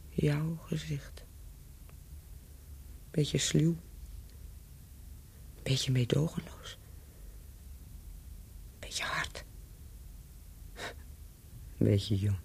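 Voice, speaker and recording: An actress playing a cynical, bittersweet former prostitute and spy in an intimate radio play, with a husky, breathy voice that often drops to a whisper. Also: female voice, low-pitched, darkish tone, slight background noise, full character voice. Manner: slow, deliberate, bittersweet and mocking. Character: world-weary cynical ex-prostitute and former spy, now hotel keeper.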